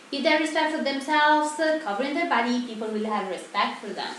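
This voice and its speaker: A woman's voice: fairly high pitch, fairly bright tone. A young woman, sounding like a student presenter, delivers a scripted presentation as a mock TV broadcast host. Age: teenager or young adult. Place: echoey indoor room. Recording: very echoey room, distant mic, faint noise.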